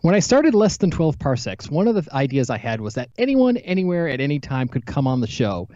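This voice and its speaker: A man's voice: a little high, big pitch swings, a little fast, background noise. A man hosting a casual podcast interview, chatting with a guest. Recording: slightly dull online-call recording.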